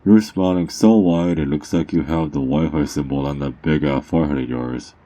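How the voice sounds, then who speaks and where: A man playing a sly, mocking underground drug dealer in an ASMR roleplay, teasing and smug, with theatrical drawn-out words. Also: rumbling low, warm tone. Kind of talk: ASMR character roleplay monologue.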